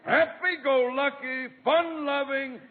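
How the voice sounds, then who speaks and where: An actor playing a blustery, pompous older school boss with theatrical delivery in a vintage radio comedy. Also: male voice, very high-pitched, fairly even intonation, slow pace. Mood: jolly, expansive. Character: blustery grumpy older school boss man, turned jolly. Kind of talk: 1940s radio comedy acting. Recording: muffled old radio, noisy, audience laughter.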